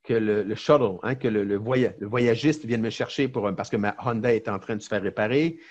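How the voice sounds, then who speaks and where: A middle-aged man with a Québécois French accent, a lawyer and entrepreneur chatting as a podcast guest, telling lively stories with many fillers and restarts. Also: on the low side, a little fast, lo-fi sound. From Quebec, Canada. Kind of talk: casual interview chat.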